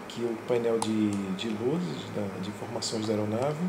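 A man calmly and methodically walking through his home flight simulator, recorded on a camera in a small room. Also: fairly low pitch, normal speed, gravelly. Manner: calm, methodical, pointing things out. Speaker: flight sim hobbyist. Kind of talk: casual show-and-tell narration. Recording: roomy, with loud fan and engine noise.

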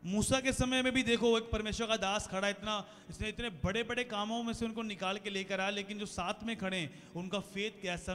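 A man in his thirties preaching a sermon to a congregation on a handheld mic, asking the crowd questions and growing louder toward the close. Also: high voice. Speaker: pastor. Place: large decorated church hall, indoors. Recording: long hall reverb with faint music.

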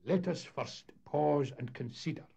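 A man voicing a cautious, opinionated Scottish boat skipper who makes deliberate, dismissive pronouncements in a storybook tale. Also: medium pitch, sing-song, darkish tone, husky, voice pushed up. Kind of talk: storybook character voice in humorous tale.

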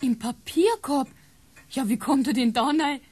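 An actress playing an affectionate, frank sixteen-year-old niece in a radio play, with a Bavarian accent and a husky girlish voice. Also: sits high, a little slow, darkish tone. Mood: cheerful, teasing. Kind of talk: naturalistic radio play acting.

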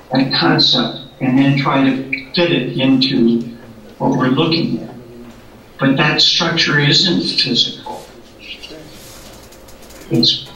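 A man giving a lecture with slides, halting and repeating words as he thinks, recorded from a distant camera in a lecture room. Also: mid-range voice, a bit bright, very echoey, muffled recording.

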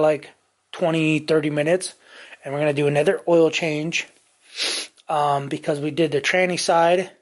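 A man casually talking through motorcycle parts in his home garage, rambling with many pauses and fillers, recorded on a handheld camera. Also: on the high side. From California. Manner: rambling, many ums and restarts. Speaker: motorcycle vlogger. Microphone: handheld camera mic, off-screen.

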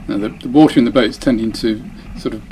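A man's voice: mid-range voice, quick pace, dark tone, slight background noise, poor audio. Chatty man commenting on a race. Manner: chatty, self-deprecating, breaks off mid-phrase. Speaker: dinghy racing sailor. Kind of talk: voice-over commentary on race footage.

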